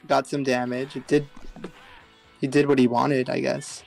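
A man co-hosting a live fighting-game stream, calmly explaining techniques and running the tournament. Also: mid-range voice, measured pace, gravelly, faint music behind. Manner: even-toned, technical analysis. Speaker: stream co-host and tournament organizer.

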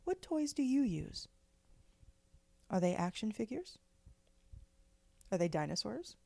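A woman leading a guided meditation in a gentle, measured, soothing way, with pauses between phrases. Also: mid-range voice, very animated, gravelly, dry recording. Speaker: guided meditation leader, spiritual director.

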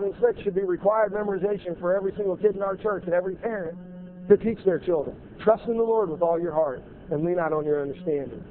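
A man in his thirties delivers a sermon, his voice pitched high. He presses his points hard and repeats key phrases. The lapel-mic audio sounds thin and phone-like, with a faint hiss behind it. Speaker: pastor. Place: large church sanctuary with choir behind. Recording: tinny narrow-band audio, faint noise.

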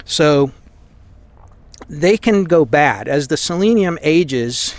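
A man's voice: a little high, animated, slow pace. An American man giving a casual, conversational hands-on explanation as host of a repair channel, recorded indoors at a workbench.

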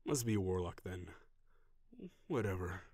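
A man voicing a proud, gruff tsundere dragon warrior in an audio roleplay, brusque and defensive with grudging sighs. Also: low voice, moderate pace, darkish tone, no room sound, studio quality. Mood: gruff, questioning.

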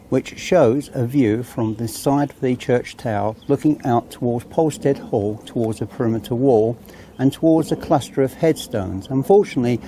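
Dark-voiced man, measured and solemn. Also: medium pitch, breathy edge. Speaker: documentary presenter. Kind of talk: presenting to camera. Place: outdoors in a country churchyard.

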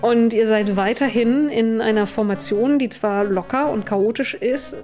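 A woman's voice: fairly high pitch, unhurried, darkish tone, faint music behind. A woman in her thirties narrating a tabletop game as game master.

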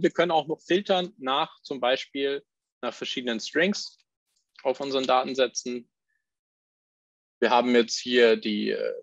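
A man presenting a live software demo, explaining each step as he clicks through it, with fillers and restarts. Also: lowish voice, creaky, no echo, muffled recording.

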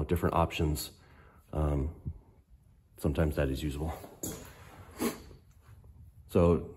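A middle-aged man with a hoarse voice from a cold, explaining a suspension lift in an unhurried, hesitant way with many pauses and ums, filmed on a handheld phone in a garage workshop. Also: deep voice, a little mellow. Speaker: off-road fabricator. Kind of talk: casual technical walkthrough. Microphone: handheld phone camera.

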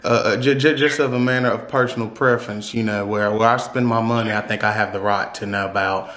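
A man's voice: lowish voice, steady tone, fairly quick, fairly bright tone. Brash man, echoey room. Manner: rambling, stammering, brash, profane. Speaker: prank caller. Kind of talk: casual prank phone call.

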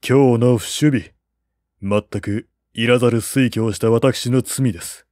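A man's voice: mid-range voice, fairly even intonation, a little slow, a little mellow, no echo, natural voice. A man acting a loyal male advisor, courteous, pleading, formal, dry recording, audiobook. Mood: apologetic. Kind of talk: audiobook character voice.

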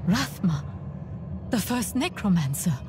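Hesitant, slow-spoken anxious mother. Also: mid-range voice, big pitch swings, background noise. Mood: hesitant, submissive. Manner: hesitant, earnest, subdued. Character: anxious scholarly mother, drawn to dark power. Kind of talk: dark fantasy game cinematic acting.

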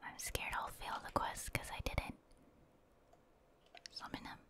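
A woman whispering soft, unvoiced ASMR commentary as she plays a video game, casually and hesitantly thinking aloud. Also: a little slow. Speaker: ASMR gamer, video host.

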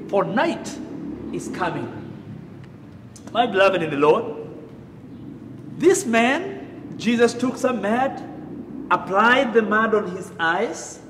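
A middle-aged man with a Kenyan accent preaches in a church sanctuary, pushing up loud on the key phrases. His voice sits high for a man and is lively. A long, roomy reverb hangs behind every word. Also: slight background noise. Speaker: pastor. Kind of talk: sermon. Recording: echoey room reverb.

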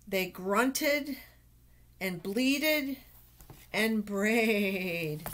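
An older woman reading a picture book aloud to young children, exclaiming and pointing things out with drawn-out words. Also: mid-range voice, fairly bright tone. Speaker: storytime reader for children. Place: small office, indoors. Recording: noisy webcam recording with clicks.

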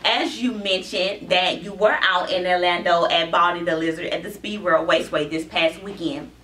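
A woman in her thirties hosting an interview show with brisk, cheerful presenter patter. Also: on the low side, sharp tone. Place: small studio office. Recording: echoey room, mic far off, faint noise.

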